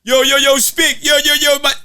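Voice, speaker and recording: A man's voice: very high voice, monotone, moderate pace, pushed and bright, no room sound, clean studio sound. A man speaking in an impassioned, preachy podcast style, repeating phrases for emphasis. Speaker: guest rapper being interviewed.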